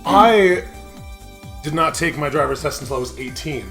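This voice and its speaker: A young man hosting a gaming show, chatting casually and telling animated, jokey stories with frequent laughter. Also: fairly high pitch, normal speed, faint music behind. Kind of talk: casual let's-play commentary with dramatic read-alouds.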